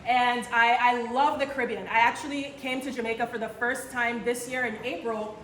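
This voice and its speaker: A woman's voice: on the high side, steady tone, normal speed, a bit bright. A woman in her thirties, from Barbados, giving an earnest talk to a church audience and asking them questions. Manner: earnest, restarts phrases, asks the audience questions. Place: church in the Caribbean. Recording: echoey church room from far off, faint noise.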